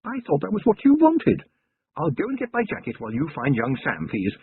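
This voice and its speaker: Man doing a poised upper-class wife with lively, lilting speech, husky voice, thin phone-like sound. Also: on the high side, a little fast, a little hiss or hum, voice pushed up. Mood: bossy. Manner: poised, gently bossy. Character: refined aristocratic wife, gently commanding woman.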